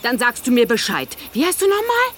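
A woman's voice: on the high side, wildly swooping pitch, a little fast, fairly bright tone, a little hiss or hum. A woman playing a distraught, insistent mother searching for her son, urgent and pressing in an audio drama. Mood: desperate.

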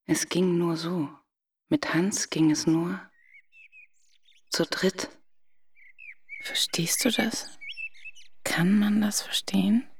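An actress playing a wounded, haunted woman in a radio play, speaking in an intimate, breathy half-whisper. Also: lowish voice, slow pace, pauses often, slight background noise. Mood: hushed, sorrowful.

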